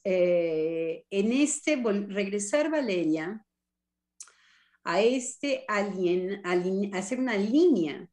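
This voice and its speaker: A woman with a Mexican accent and a somewhat low voice speaks in a relaxed group discussion. She is ironic and animated, and she often pauses with hesitant fillers as she talks. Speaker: discussion participant. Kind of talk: casual discussion among colleagues.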